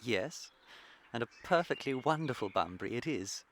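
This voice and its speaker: Man acting flippant British dandy, very animated. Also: mid-range voice, moderate pace, a little hiss or hum, lightly acted. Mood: breezy, teasing. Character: flippant young Victorian dandy, witty and unrepentant. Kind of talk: scripted radio comedy acting.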